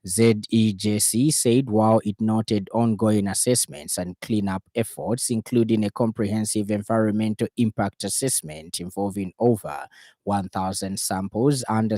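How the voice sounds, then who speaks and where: A man's voice: fairly low pitch, dark tone, dry recording. A man with a Zambian accent reading a scripted radio news bulletin in a studio.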